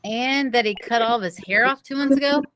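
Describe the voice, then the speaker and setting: A woman's voice: fairly high pitch, a little fast, background noise. A woman teacher reading the dialogue of a children's novel aloud, a little away from the microphone. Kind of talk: read-aloud storybook dialogue.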